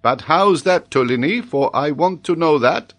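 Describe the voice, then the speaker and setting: A man voicing an excitable, theatrical French geographer in a storybook, exclamatory and mock-admiring, drawing out his words. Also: a little high, moderate pace, pitched above the performer's own voice. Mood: excited disbelief.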